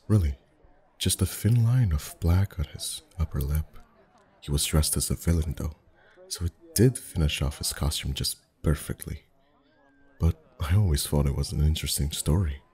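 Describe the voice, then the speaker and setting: A man acting as a friendly festival face painter in an ASMR roleplay. His voice is extremely low and mellow, softly spoken and gentle. He rambles in a chatty, lightly flirtatious way. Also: normal speed, slightly rough, full character voice. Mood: warm, attentive. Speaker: ASMR voice actor.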